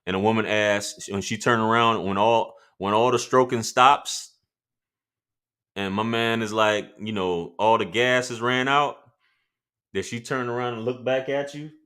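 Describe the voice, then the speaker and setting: A man with a deep voice hosting a casual livestream, reading chat comments and talking loosely with profanity and laughing asides. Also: steady tone, unhurried, pushed and bright.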